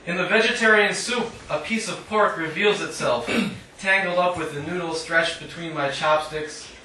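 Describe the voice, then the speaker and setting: A man giving a live poetry reading in a measured, declamatory way with dry humour, adding short spoken asides. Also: fairly high pitch, sharp tone. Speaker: poet reading own work. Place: indoor literary cafe reading. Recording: echoey live room, distant mic, faint noise.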